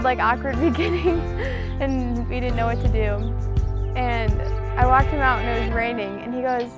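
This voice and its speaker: Young woman, high-pitched, chatty and gushing personal storyteller, giggly, music clearly underneath. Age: young adult. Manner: giggly, gushing, liberal use of "like". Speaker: bride-to-be telling her love story. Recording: music behind the voice.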